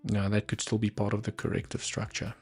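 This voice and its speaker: A man's voice: on the low side, monotone, fairly quick, a little mellow, breathy edge, faint music behind. A man with a South African accent and Afrikaans as his first language, hosting a live trading stream and thinking aloud over the charts with muttered asides. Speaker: livestream trading host. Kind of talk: live market analysis commentary.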